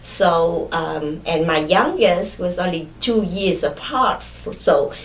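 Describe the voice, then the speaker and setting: A middle-aged woman from Vietnam speaks English with a Vietnamese accent, recalling her early life for an oral history interview. She talks slowly, stopping often for fillers and restarts. The room is echoey, with faint ambience behind her. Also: fairly low pitch, expressive, slight background noise. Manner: hesitant, many fillers and restarts.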